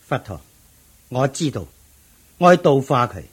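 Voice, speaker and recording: An earnest monk disciple in a radio drama. He has a husky Hong Kong Cantonese voice with animated pitch, always inquisitive and respectful. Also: a little slow, heavily acted. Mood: eager, respectful. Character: earnest, curious male disciple of the Buddha.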